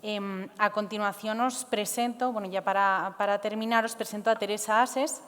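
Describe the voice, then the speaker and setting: A woman presenting at a forum, introducing in a halting, hesitant way, recorded in a reverberant hall. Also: mid-range voice, steady tone, a bit bright. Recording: echoey hall with long reverb.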